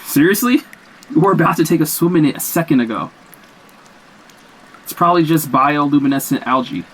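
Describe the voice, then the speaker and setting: Cocky, higher-voiced young slacker. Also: male voice, lively intonation, fairly quick, a bit bright, breathy edge, rough recording. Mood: teasing. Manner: casual, dismissive, slangy. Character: cocky, dismissive young slacker, reckless and curious. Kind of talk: naturalistic horror audio drama dialogue.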